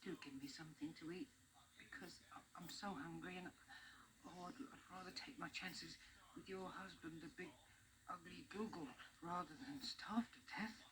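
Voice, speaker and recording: A woman's voice: on the low side, fairly even intonation, normal speed, background noise, lightly acted. A woman voicing a jittery, curious young boy on a space trip, stammering as he talks, in a 1970s storybook record. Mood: pleading hushed. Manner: stammering, hesitant.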